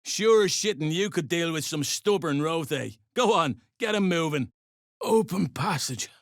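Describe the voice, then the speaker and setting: An actor playing a gruff, greedy, foul-mouthed dwarf overseer in a video game, bossy and sarcastic in clipped phrases. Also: male voice, fairly high pitch, animated, unhurried, no echo, clean studio sound. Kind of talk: video game character acting.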